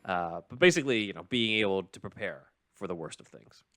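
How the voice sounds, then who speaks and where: A low-pitched man talks like a podcast host walking listeners through a script. His delivery is measured and explanatory but casual, recorded close and very dry. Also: lively intonation, fairly bright tone, dry recording. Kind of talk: casual recap and commentary on a script.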